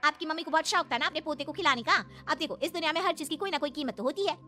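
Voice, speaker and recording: A woman's voice: high-pitched, very fast, pushed and bright, soft background music. A woman voicing a brazen, greedy young housemaid in a cartoon family drama, taunting and haughty in a sly way. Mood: scheming. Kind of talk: dramatised cartoon story dialogue.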